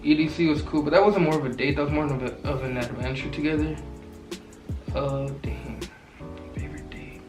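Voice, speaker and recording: A man's voice: on the high side, steady tone, brisk. Laid-back nineteen-year-old guy, echoey room. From Southern California. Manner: laid-back slangy rambling. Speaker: vlogger. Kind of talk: casual couple Q&A chat. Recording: roomy echo, mic far off, music behind.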